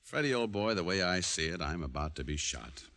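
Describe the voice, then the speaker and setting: Hard-boiled detective, low lively voice, sardonic and breezy, vintage radio drama. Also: male voice, brisk, gravelly, no echo, heavily acted. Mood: cool, wry. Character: wisecracking, cool-headed hard-boiled private detective. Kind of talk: 1940s-50s radio detective acting, dialogue and narration. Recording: old radio broadcast recording.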